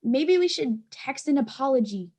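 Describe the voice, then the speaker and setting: A woman's voice: high-pitched, animated, normal speed, mellow. A woman playing an anxious, dramatic schoolgirl in a staged play reading, with a fretful manner. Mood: dramatic, restless.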